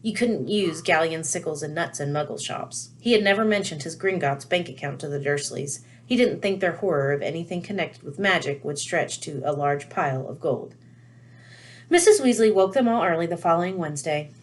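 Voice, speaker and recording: Lowish-pitched woman reading a storybook. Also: lively intonation, brisk. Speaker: read-aloud storyteller. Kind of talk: scripted storybook narration.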